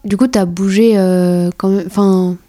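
A woman's voice: medium pitch, fairly even intonation, a little slow, warm tone, a little hiss or hum. A woman hosting a casual podcast interview, chatty and asking short questions. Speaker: podcast host.

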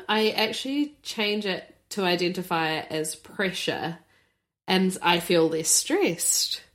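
A woman with a New Zealand accent co-hosting a podcast, relaxed and giggly in casual chat. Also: fairly low pitch. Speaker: podcast co-host.

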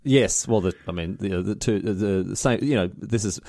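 A man's voice: on the low side, moderate pace, gravelly, mellow. A man with an Australian accent co-hosting a podcast, joking and sarcastic in casual banter. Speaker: podcast co-host.

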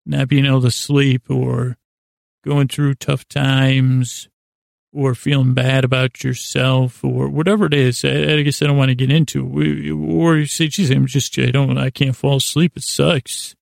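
Very gravelly, rambling male podcast host. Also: mid-range voice, little pitch movement, no echo, clean studio sound. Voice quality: gravelly, creaky voice. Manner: meandering, halting, often trailing off and circling back. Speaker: host of a bedtime sleep podcast. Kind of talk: rambling, unscripted bedtime monologue.

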